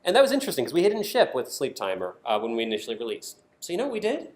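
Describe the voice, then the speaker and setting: A man's voice: mid-range voice, wildly swooping pitch, racing. An American man giving a prepared conference presentation, explaining his points emphatically in short, punchy statements. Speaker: conference speaker, design team member. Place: indoor conference session room. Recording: roomy echo of a presentation space.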